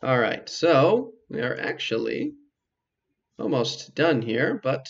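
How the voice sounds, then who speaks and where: A man hosts a casual game walkthrough in a creaky, mid-pitched voice on a dull, lo-fi mic. He explains each step in a measured, hesitant way with dry asides. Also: big pitch swings. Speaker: video game walkthrough host. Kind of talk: casual let's play commentary.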